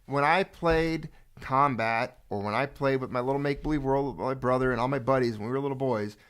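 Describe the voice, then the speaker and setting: A man's voice: mid-range voice, brisk, a bit bright, clean tone. Chatty middle-aged man, rambling jokes. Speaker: channel host. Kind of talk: casual chat. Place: home.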